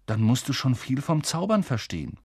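A man voicing a quiet, modest eleven-year-old boy, close to his own voice. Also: medium pitch, sing-song, moderate pace, slightly rough, no echo, pitched above the performer's own voice.

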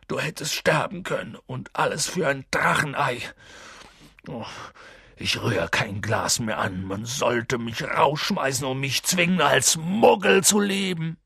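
A man voicing a huge, soft-hearted, guilt-ridden bearded man in an audiobook, with rough colloquial, halting speech. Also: deep voice, no room sound, heavily acted. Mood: sobbing, remorseful. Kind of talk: audiobook character voice.

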